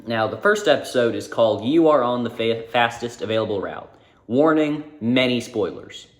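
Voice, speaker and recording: Male narrator for a casual explainer; mid-pitched, relaxed delivery, recorded in an echoey room. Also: moderate pace. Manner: informal, with self-corrections. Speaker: video essay narrator. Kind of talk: narrated video essay. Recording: roomy echo.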